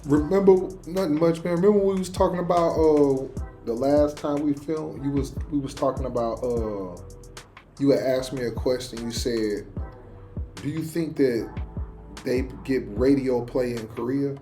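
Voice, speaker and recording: A man hosts a reaction show in loose, slangy conversation. His voice sits at a medium pitch with a soft, mellow tone. Faint music plays behind him. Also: normal speed. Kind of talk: casual reaction chat.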